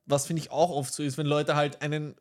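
A man's voice: fairly high pitch, flat delivery, a little fast, darkish tone. A man co-hosting a film podcast in casual chat, rambling with many fillers and restarts. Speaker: podcast co-host.

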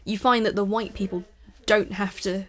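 A young woman in her late teens of half Thai, half British background, speaking earnestly in a casual discussion and pausing often on fillers. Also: mid-range voice, steady tone. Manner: earnest, ranting, hesitant with fillers. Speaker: discussion participant. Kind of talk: casual conversation.

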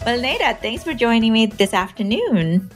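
A woman's voice: on the high side, very animated, moderate pace, soft background music. A woman hosting a podcast interview, asking questions in a friendly conversational way. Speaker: podcast host.

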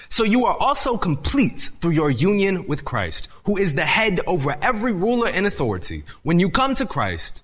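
Young man, preacher, slightly raspy, earnest, animated pitch, sermon to a congregation. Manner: earnest, lively delivery with rising emphasis. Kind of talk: sermon with scripture reading. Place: church hall with audience.

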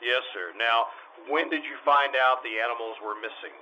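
Man playing a clipped, bright-voiced police detective. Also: medium pitch, fairly even intonation, normal speed, highs cut off, slight background noise. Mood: businesslike. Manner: clipped, matter-of-fact questioning. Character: polite, businesslike, patient police detective. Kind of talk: old-time radio police drama acting.

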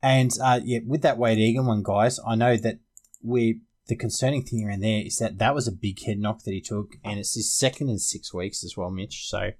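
Australian man, lowish pitch, casual sports podcast co-host, informal and conversational. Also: steady tone, moderate pace, darkish tone. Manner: informal, conversational, addresses co-hosts as guys. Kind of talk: casual fantasy rugby league chat.

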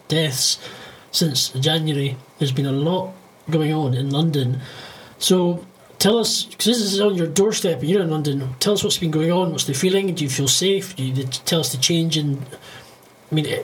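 Casting: male Scottish podcast host, fairly high pitch, rambling conversational interviewer, noisy ambient recording. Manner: rambling, circling back mid-sentence. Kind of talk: casual interview chat. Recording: clearly noisy, ambient background noise.

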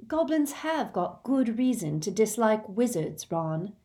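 An earnest storybook schoolgirl , voiced by a woman, with lively movement in her pitch. She is reasonable and explains what she knows carefully. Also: measured pace. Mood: reproving. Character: earnest, reasonable, knowledgeable teenage girl.

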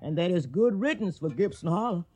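An actress playing a devoted, plain-spoken older household servant with a husky voice and a Southern US accent, in a radio drama. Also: female voice, on the low side, lively intonation, a little fast, warm tone. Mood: disapproving, firm. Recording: slightly dull old radio recording.

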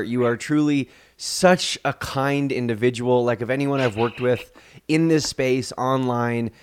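A male podcast host with a smooth, resonant voice and middle pitch. He talks in a friendly, rambling way, full of fillers and little restarts. It sounds like a relaxed interview chat.

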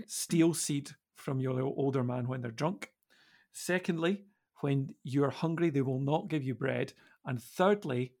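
A man on a podcast panel, explaining in a thoughtful, halting way. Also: mid-range voice, gravelly, darkish tone. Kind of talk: explanatory discussion.

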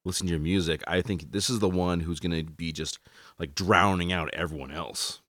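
A man's voice: low voice, fairly quick, fairly bright tone, no room sound, clean studio sound. A man interviewing a singer, friendly and a bit hesitant, often repeating words. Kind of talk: casual interview questions.

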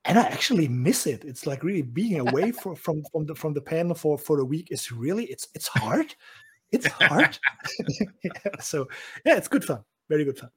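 German man, husky voice, rambling talk. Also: on the high side, very animated, normal speed, fairly bright tone. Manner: repeats words and restarts, laughs often. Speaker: podcast co-host. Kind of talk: casual chat.

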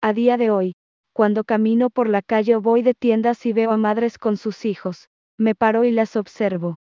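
Woman, higher register, warm and soft, dictating text with punctuation read out; dry, lo-fi sound. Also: steady tone, no echo. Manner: reads each phrase, then repeats it slowly, calling out punctuation. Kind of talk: reading a news text aloud as dictation.

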